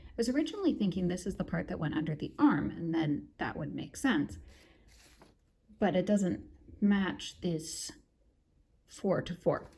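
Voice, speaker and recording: A woman's voice: medium pitch, warm tone, slight background noise. A woman talking casually to her viewers while she sews, calling them "my darlings" and explaining her progress. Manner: chatty, addresses viewers as "my darlings". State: croaky from a cold. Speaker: sewing vlogger. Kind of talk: casual sewing vlog talk to viewers.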